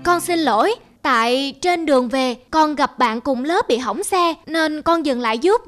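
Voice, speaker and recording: Woman voicing an earnest, high-pitched little schoolgirl. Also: animated, unhurried, fairly bright tone, faint music behind. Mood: apologetic. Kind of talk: cartoon voice acting.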